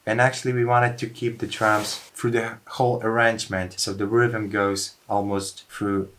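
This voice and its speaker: Low-voiced man explaining a music track. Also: flat delivery, normal speed, a bit bright, a little hiss or hum. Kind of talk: casual studio walkthrough.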